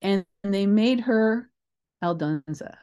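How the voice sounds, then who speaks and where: An older woman speaking as an interview guest, telling anecdotes in an expressive, emphatic, rambling way with asides. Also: mid-range voice, slow pace, highs cut off. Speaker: guest, theatre creator. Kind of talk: animated anecdotal storytelling in an interview.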